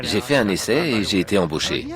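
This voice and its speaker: A man, a former marine park trainer, telling his story in a relaxed way in a dubbed documentary interview. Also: lowish voice, brisk. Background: music.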